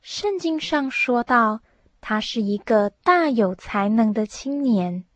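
A woman narrating a scripted radio drama in a measured storytelling manner. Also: fairly high pitch, no room sound.

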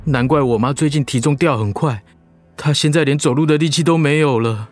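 A man's voice: a little high, a little fast, faint music behind, lightly acted. A man playing a worried, deferential son of a sick mother in a radio drama. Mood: anxious.

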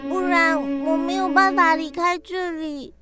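Childlike piglet girl: tiny very high voice, unhurried, naive and pleading, children's radio drama. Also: steady tone, bright, forceful tone, soft background music, exaggerated voice. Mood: earnest pleading. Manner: slow, whiny, pleading, childlike. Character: hungry, naive, sweet little piglet girl.

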